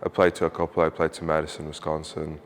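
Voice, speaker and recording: A man's voice: extremely low pitch, completely flat delivery, fast talker. A nineteen-year-old male student from Lebanon, speaking in a reserved, plain-spoken way in an interview. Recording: faint ambient noise.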